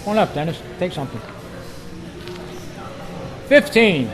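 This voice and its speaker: A man with a notably high voice acts as quizmaster at a club meeting. He addresses the members live, announcing and calling out to them. It is an amateur recording made in the meeting room. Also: big pitch swings, measured pace, over music. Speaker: club quiz host.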